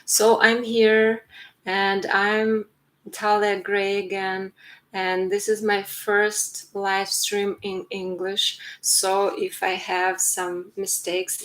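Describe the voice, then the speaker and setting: A woman in her forties with a Ukrainian accent, talking earnestly to her live stream viewers in a halting way, with many fillers and restarts. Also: mid-range voice, fairly bright tone, low-quality recording. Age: 40s. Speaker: writer streaming to her channel. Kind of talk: casual live stream monologue.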